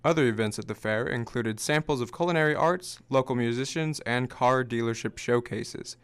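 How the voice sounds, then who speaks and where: A man reports for a student news show, reading his lines in a measured way. His voice is smooth and resonant. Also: mid-range voice, a bit bright.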